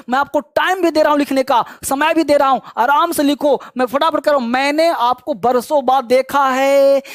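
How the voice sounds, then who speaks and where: A man runs an online English class, quizzing students at a brisk clip. He teases and scolds along the way. His voice sounds dry, with no room echo. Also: very high voice. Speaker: English teacher. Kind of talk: online spoken English quiz lesson.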